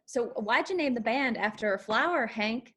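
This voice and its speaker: A woman's voice: a little high, lively intonation, normal speed, pushed and bright. A woman playing a young, plainspoken, probing country girl with an old soul in a screenplay table read. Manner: plainspoken, folksy, persistent.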